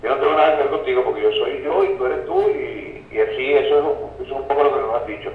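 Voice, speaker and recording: A man's voice: mid-range voice, big pitch swings, quick pace. A man giving explanatory interview answers as a guest, hesitating and repeating words as he goes. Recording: muffled, distant, echoey remote line, faint noise.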